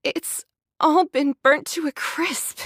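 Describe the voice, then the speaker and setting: A devout young female android in an anime-style game. Her high voice is polite and gentle. The recording is close, with no room sound. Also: slow, no room sound. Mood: grave.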